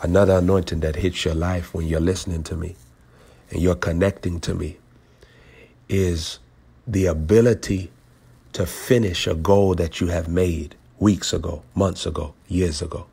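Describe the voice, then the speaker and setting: A man preaching in a prophetic teaching style, deliberate and aphoristic, repeating phrases for emphasis. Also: sits low, steady tone, vocal fry, mellow, breathy edge. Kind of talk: prophetic teaching over a phone call.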